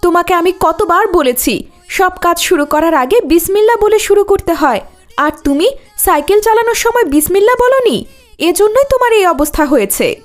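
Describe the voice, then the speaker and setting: A woman's voice: extremely high pitch, animated, moderate pace, faint music behind. A woman voicing a worried, admonishing but loving mother in a cartoon. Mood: scolding. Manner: concerned, admonishing. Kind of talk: cartoon dialogue.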